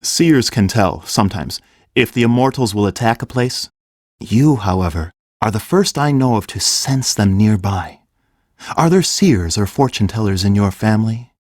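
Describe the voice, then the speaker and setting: A man playing a kind, grave fantasy king in a full-cast audiobook, speaking in a gentle, measured, earnest way. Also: on the low side, lively intonation, no echo, clean studio sound. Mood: kind, probing.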